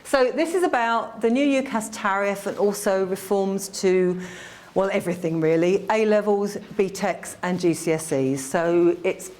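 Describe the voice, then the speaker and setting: A woman with a slightly croaky voice, giving a quick, informal briefing on admissions to a group. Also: medium pitch, a little mellow. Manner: quick-talking, informal, with fillers. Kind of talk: presentation to a group.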